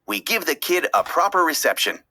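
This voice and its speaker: A woman's voice: fairly low pitch, big pitch swings, a little fast, sharp tone, pitched above the performer's own voice, full character voice. A woman voicing a solemn, protective male fish who leads a gang, in a storybook. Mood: ceremonious.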